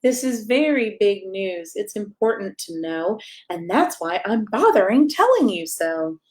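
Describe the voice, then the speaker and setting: A woman's voice: mid-range voice, sing-song, unhurried. Woman reading a children's bedtime story aloud. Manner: playful, addressing young listeners directly with questions. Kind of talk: expressive read-aloud of a rhyming children's picture book.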